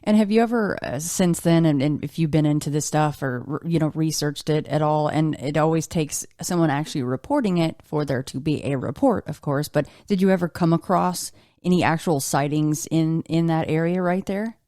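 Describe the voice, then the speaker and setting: A woman's voice: low-pitched, normal speed, hardly any pauses, warm tone, dry recording, studio quality. A woman hosting a podcast interview, chatting casually and reflectively with her guest.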